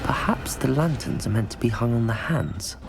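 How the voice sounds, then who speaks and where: A man's voice: medium pitch, big pitch swings, moderate pace, vocal fry. A man voicing a curious, unflappable young wizard student in a video game, polite and dryly musing to himself. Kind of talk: video game protagonist acting. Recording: loud music behind the voice. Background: loud game music.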